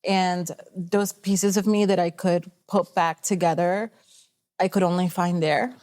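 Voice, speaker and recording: A woman's voice: lowish voice, fairly even intonation, unhurried. Young woman sharing a heartfelt story. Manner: warm storytelling, grateful, mixes Spanish words. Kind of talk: casual heartfelt chat.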